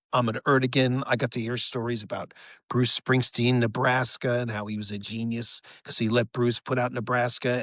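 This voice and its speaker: Middle aged guy being interviewed, casual storytelling, average pitch, dry close sound. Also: fairly bright tone, no echo. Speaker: rock band leader as podcast guest. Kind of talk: casual anecdotal interview chat.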